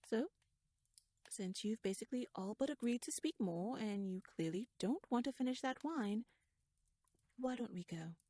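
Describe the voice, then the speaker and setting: A woman playing a shrewd, verbose young noblewoman in an ASMR audio roleplay, speaking softly in formal, wry, rambling phrases and often slipping into breathy whispers. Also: medium pitch, dry recording. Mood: teasing, confident. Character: shrewd, wordy young noblewoman, nervous yet playfully bold schemer. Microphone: close microphone, dry.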